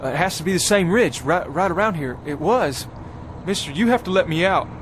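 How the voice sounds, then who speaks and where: A man's voice: high voice, lively intonation, brisk, background noise. An actor playing a bewildered pioneer man from the 1800s who stammers and halts anxiously, in a 1960s television drama. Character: frightened 1800s pioneer man lost in time.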